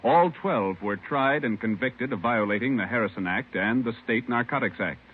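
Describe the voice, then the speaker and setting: A man's voice: medium pitch, normal speed, a little hiss or hum. A man with an American accent, announcing formally in 1950s radio style. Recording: tinny old radio broadcast, music behind.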